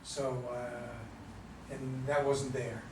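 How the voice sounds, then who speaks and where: A man giving casual critique in a halting manner. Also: medium pitch, level tone. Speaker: workshop participant. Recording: very echoey room, distant mic, faint noise.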